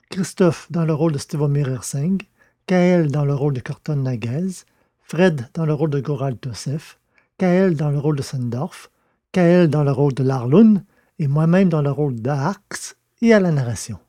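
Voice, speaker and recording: Mellow male audio drama narrator. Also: a little high, moderate pace, no room sound, clean studio sound. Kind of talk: scripted French science-fiction story narration.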